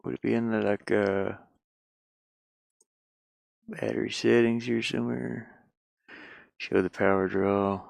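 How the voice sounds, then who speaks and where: Low, creaky-voiced man thinking aloud. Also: flat delivery, takes it slowly, long pauses, no room sound. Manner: rambling, muttering to himself, repeats words. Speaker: game developer streaming his work. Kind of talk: casual think-aloud commentary while working.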